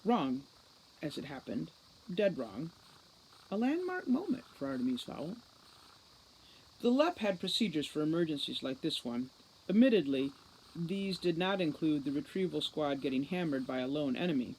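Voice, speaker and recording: A woman narrating a novel aloud as a storybook reader, in an expressive style. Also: low-pitched, normal speed, a little hiss or hum, rough recording. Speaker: audiobook reader.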